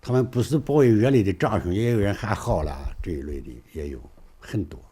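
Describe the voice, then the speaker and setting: A man's voice: lowish voice, animated, fairly quick, dark tone. A man talking without a script as an interviewee. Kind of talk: unscripted interview talk.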